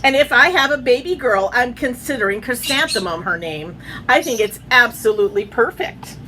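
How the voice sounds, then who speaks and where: A woman voicing a dreamy, dignified female music teacher from a storybook in a measured, deliberate manner. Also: fairly high pitch, lively intonation, pushed and bright, slight background noise, poor audio. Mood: proud.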